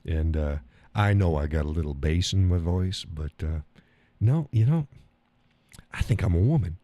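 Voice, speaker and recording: A man's voice: deep voice, expressive, normal speed, mellow, no room sound, voice pushed down. A man mocking a hesitant male friend. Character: made-up male friend confessing a wish to transition, mocked.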